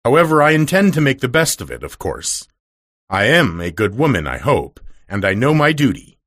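A man's voice: medium pitch, very animated, normal speed, a bit bright. A man voicing a stern, severe, wealthy middle-aged woman who speaks stiffly and dryly, in a storybook reading.

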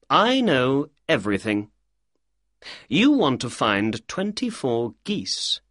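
A narrator playing a confident, shrewd male detective in an audiobook story, courteous, deliberate and assured. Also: mid-range voice, fairly bright tone, husky, dry recording, clean studio sound, voice pushed up, full character voice. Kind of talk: audiobook character dialogue.

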